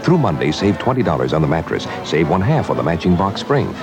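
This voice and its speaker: A man's voice: low-pitched, sing-song, fairly quick, music in the background. A man reading a scripted retail commercial as an announcer.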